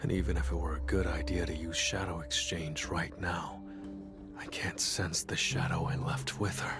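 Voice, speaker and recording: A man voices a young hunter from a game cutscene, low and deadpan. He speaks tersely, calm and guarded, like someone used to giving orders. Music plays clearly behind him. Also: normal speed, close to an everyday voice. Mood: grim. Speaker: video game voice actor.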